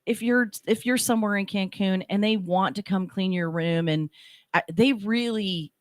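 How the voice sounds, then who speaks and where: A woman's voice: medium pitch, normal speed, no echo, clean studio sound. A middle-aged woman co-hosting a radio talk show, chatting in a rambling way and often restarting her sentences. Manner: rambling, self-interrupting storyteller. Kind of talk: casual chat. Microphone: studio microphone.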